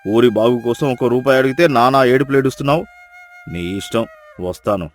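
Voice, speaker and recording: A man voicing a stern, exasperated village accountant in a moral story, scolding loudly. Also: mid-range voice, a little fast, faint music behind, close to an everyday voice. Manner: scolding, loud.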